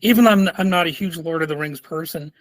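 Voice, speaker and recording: A man hosts an interview, his voice sitting fairly high and recorded dry. He asks measured questions, pausing on hesitant ums. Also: dry recording. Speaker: podcast host.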